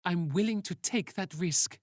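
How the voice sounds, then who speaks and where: Narrator doing a high-pitched, pleading teenage boy. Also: unhurried, no room sound, studio quality, lightly acted. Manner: earnest, pleading, insistent. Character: rebellious, desperate teenage boy pleading for his sister.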